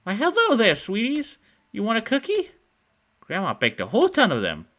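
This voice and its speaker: A man's voice: high-pitched, wildly swooping pitch, normal speed, a bit bright, pitched above the performer's own voice, full character voice. A man voicing a sweet-sounding old woman of about sixty with a cooing, sugary manner. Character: sweet-talking grandmotherly old woman, sinister undertone.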